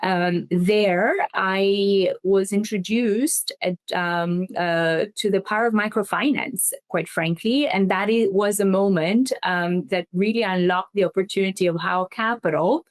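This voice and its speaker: A woman from Albania, a foundation investment head, answering interview questions thoughtfully with frequent ums. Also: mid-range voice. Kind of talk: interview guest.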